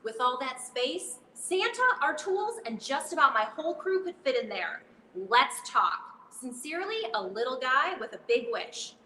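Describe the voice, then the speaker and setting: A woman's voice: high voice, big pitch swings, moderate pace, bright, forceful tone, exaggerated voice. A woman playing a chummy, conspiratorial little elf of Santa's in a scripted radio advertisement, confiding and playful. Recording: roomy sound with faint background noise.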